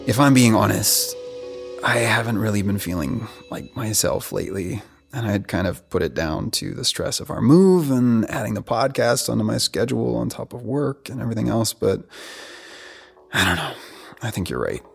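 An actor playing a stressed, self-doubting podcast host with an American accent, in hesitant, naturalistic audio drama dialogue. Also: male voice, lowish voice, lively intonation, normal speed, gravelly, slightly rough, soft background music, exaggerated voice. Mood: weary, unsettled. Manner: hesitant, searching, conversational.

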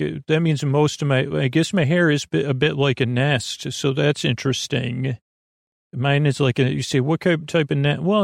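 A man hosting a bedtime podcast in a creaky voice, with a lulling, halting delivery that meanders off on tangents. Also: medium pitch, no room sound, studio quality. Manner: lulling, soothing, halting and digressive. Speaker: podcast host. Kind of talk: rambling, meandering bedtime monologue.

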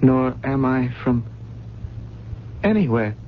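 A bewildered, homeless man in a radio mystery. He speaks very slowly and haltingly, on a muffled old recording with faint noise. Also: mid-range voice, animated. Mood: lost, bewildered. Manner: hesitant, halting. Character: lost, bewildered man with no memory or home. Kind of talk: radio mystery drama acting.

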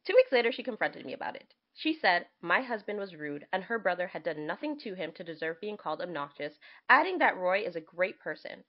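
A woman co-hosting a podcast, chatting casually and reading letters aloud in an expressive, reactive manner. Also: on the low side, fairly quick, hardly any pauses, highs cut off. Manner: expressive, reacts to what is read. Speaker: podcast co-host.

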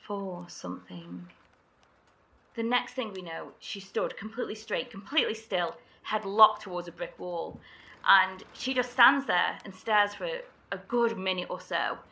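Woman survivor in horror drama, slow, gravelly, bright tone, hesitant storytelling. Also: medium pitch. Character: woman survivor recounting eerie night. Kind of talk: audio drama acting.

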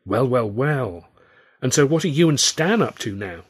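A man's voice: mid-range voice, animated, normal speed, slightly rough, voice pushed up. A man voicing a polite, self-important English comedian who is condescending and evasive, in an audiobook. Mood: polite surprise.

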